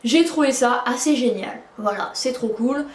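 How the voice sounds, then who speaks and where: A woman's voice: on the high side, normal speed, roomy sound. Chatty French woman reviewing books. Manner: chatty, many fillers and restarts. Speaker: book reviewer. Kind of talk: casual book review chat.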